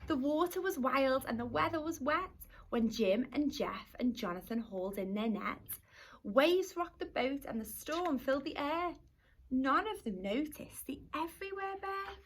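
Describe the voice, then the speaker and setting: A woman teacher reading a rhyming picture book aloud to young children in an expressive storytelling manner. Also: high-pitched, normal speed. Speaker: primary school teacher.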